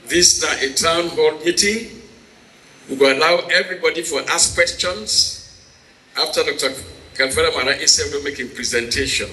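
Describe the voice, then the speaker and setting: A man's voice: a little high, sharp tone. A man with a Sierra Leonean Krio accent welcoming a gathering as moderator of a meeting. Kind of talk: informal welcome speech to a gathering. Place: hall at a town hall meeting. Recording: echoey hall with background noise.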